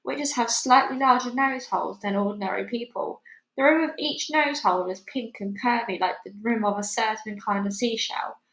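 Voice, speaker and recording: A woman's voice: fairly high pitch, normal speed, fairly bright tone. A woman voicing a stern, knowing old grandmother in a storybook, brusque and instructive. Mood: instructive, relishing. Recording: roomy, echoey home recording.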